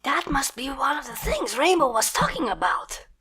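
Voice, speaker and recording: A small, eager country filly calls out in a high, lively voice, put on by a woman reading a fan story. Her pitch leaps around with every line, and her timbre is clearly altered from the reader's own. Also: moderate pace, heavily acted. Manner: eager and excitable.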